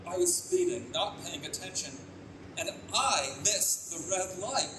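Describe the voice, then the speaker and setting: An anguished, theatrical father reborn as a baby played by a man, high-voiced and leaping across his range. It is excitable radio drama, caught in an echoey room from a distant mic. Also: unhurried. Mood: pleading. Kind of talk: live old-time radio play acting. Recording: echoey live stage, distant mic, clear noise.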